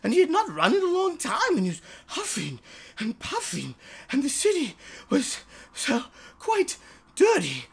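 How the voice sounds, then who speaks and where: An author reading a children's bedtime story in a theatrical, halting way, with repeated words and joking asides. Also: high-pitched, wildly swooping pitch, gravelly. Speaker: author reading his own short story.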